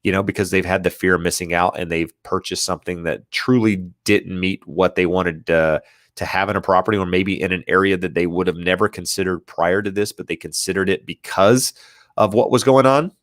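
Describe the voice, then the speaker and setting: A man's voice: deep voice, fairly even intonation, fairly quick, dry recording, clean studio sound. A man hosting a casual live question-and-answer stream, stuffy and nasal from allergies, hesitant with many fillers and restarts as he reads out viewer questions. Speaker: real estate livestream host.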